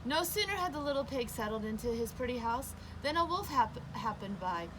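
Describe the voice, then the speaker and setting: A woman reading a children's picture book aloud as a storyteller, with expressive, emphatic narration. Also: on the high side, fairly quick, noisy background.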